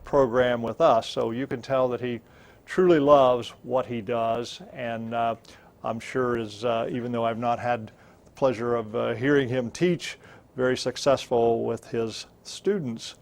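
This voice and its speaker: A man with an American accent hosting a conversational interview, phrasing his questions deliberately and with some hesitation. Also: medium pitch, steady tone, gravelly, darkish tone. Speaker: interview host. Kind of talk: conversational live-stream interview.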